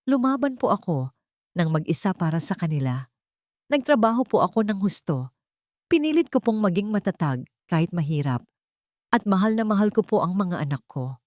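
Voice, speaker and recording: A woman's voice: medium pitch, sing-song, moderate pace, long pauses, darkish tone, no room sound, studio quality, lightly acted. A woman voicing a wronged single mother who pleads earnestly and politely but stands firm in a drama story. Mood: pleading, hurt.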